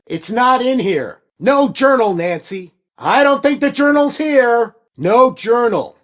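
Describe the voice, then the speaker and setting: A scheming game villain posing as a detective, his voice pitched fairly high and very animated. He is condescending and impatient, with a theatrical edge. The sound is dry and close. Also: dry recording, full character voice. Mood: angry, exasperated. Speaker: voice actor in a detective adventure game. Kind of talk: scripted game character dialogue.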